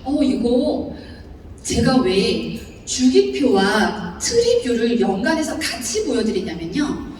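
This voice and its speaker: A high-pitched woman with a Chungcheong accent lectures in a large hall. Her voice swings widely up and down as she laughs and quizzes the audience. She sounds distant, and a long echo hangs on every word. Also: slow pace, slight background noise. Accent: Chungcheong Korean. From Seocheon, South Chungcheong, Korea. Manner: lively, laughing often, asks the audience questions. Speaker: seminar speaker. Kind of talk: seminar lecture explaining a bonus chart. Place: large lecture hall before about 800 people. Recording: echoey hall recording from far off.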